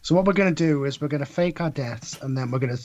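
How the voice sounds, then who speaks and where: A man's voice: a little high, quick pace, darkish tone, lo-fi sound, slight background noise. A man guesting on a podcast, talking casually and critically in a wry, sarcastic way.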